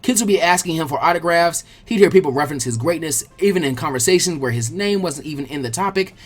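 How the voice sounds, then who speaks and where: A man reading a story aloud as an expressive, colloquial audiobook narrator, with a husky voice. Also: fairly high pitch, fast, soft background music. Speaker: fan fiction audiobook narrator.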